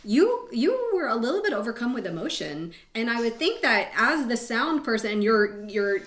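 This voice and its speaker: A woman's voice: on the high side, big pitch swings, normal speed, a bit bright. A woman talking casually and conversationally as a panel guest. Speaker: voice actor on a panel. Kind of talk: casual chat. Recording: echoey room.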